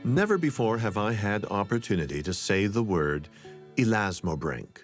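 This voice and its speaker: A man hosting a podcast, narrating scripted stories in a wry, expressive way. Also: on the low side, normal speed, soft background music. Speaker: podcast host.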